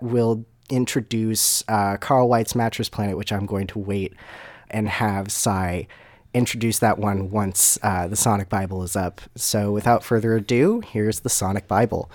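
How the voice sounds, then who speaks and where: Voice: medium pitch, fairly even intonation, a little slow, darkish tone. A podcast host talking casually and reading a story aloud as a dramatic reading.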